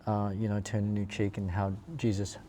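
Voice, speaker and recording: Low, mellow Australian man, hesitant. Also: little pitch movement, brisk. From Perth, Australia. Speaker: interview guest telling their life story. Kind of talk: casual conversational storytelling. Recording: clear background ambient noise.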